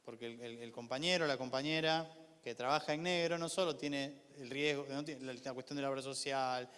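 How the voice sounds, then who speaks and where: A man with a Buenos Aires accent gives an informal class lecture, haltingly, repeating words and posing rhetorical questions to his listeners. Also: medium pitch, steady tone, fairly bright tone, clear, resonant tone. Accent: Argentine, Buenos Aires area. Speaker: lecturer, university official teaching a class. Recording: echoey hall with long reverb.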